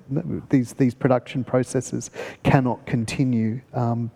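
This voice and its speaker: An Australian man moderating a live panel discussion, with articulate, bookish and deliberate phrasing. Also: medium pitch, warm tone. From country New South Wales, Australia. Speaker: panel host. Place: festival venue hall. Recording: reverberant hall.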